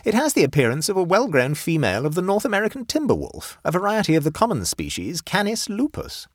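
Storybook lord, acted by a man: courtly, precise, unflappable, slightly raised and lively in pitch. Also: no echo, studio quality, exaggerated voice. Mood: pedantic. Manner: measured, pedantic. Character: unruffled aristocratic male wild-animal connoisseur, pedantic and courtly.

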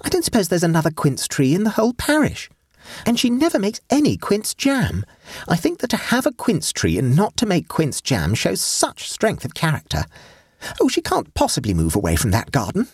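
A man's voice: high-pitched, wildly swooping pitch, moderate pace, husky, no echo, clean studio sound, pitched above the performer's own voice, heavily acted. A man voicing a teasing sixteen-year-old girl. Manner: airy, teasing, conspiratorial.

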